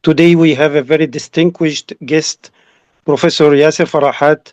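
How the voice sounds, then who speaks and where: A man's voice: a little high, flat delivery, fairly quick, darkish tone, no room sound. A man with an Egyptian accent hosting an online session, introducing a guest and mixing English with Arabic. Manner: switches between English and Arabic. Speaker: session host. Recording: dull dry lo-fi audio.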